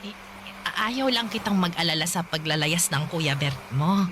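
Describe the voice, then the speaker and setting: A woman's voice: fairly low pitch, expressive, moderate pace, noisy background, lightly acted. A woman playing a weary old grandmother. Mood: hesitant. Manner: hesitant, soft-spoken, pleading. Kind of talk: radio drama acting.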